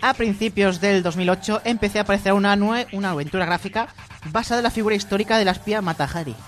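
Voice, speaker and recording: A man reads video game news on a radio show and keeps breaking off to tease his co-hosts and laugh. His voice is high for a man. Soft music plays under the talk. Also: quick pace. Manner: teasing, laughs often.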